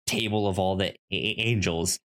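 A man's voice: deep voice, fairly even intonation, normal speed, a bit bright. A man co-hosting a podcast in casual chat about film, stammering and repeating words as he goes. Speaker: podcast co-host.

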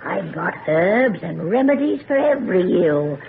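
Ominous old country fortune-teller, tinny recording. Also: female voice, fairly low pitch, very animated, measured pace, gravelly, a little hiss or hum, heavily acted. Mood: folksy, inviting. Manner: rustic old-fashioned speech, foreboding. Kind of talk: old-time radio drama acting.

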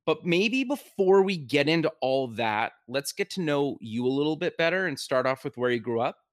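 A man's voice: a little high, lively intonation, moderate pace. A man hosting an interview, casual and friendly, often answering with short stock replies. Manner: friendly, repeats short affirmations. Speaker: podcast host.

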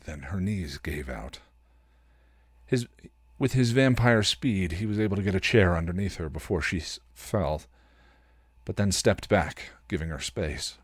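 A man reading fan fiction aloud as a podcast host, in expressive story narration. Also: fairly low pitch, dry recording, studio quality.

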